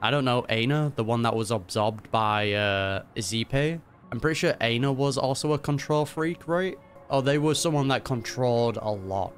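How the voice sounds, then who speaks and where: A man's voice: mid-range voice, moderate pace, soft background music. A man giving informal running commentary on a game's story, musing and thinking aloud. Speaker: gaming reaction streamer.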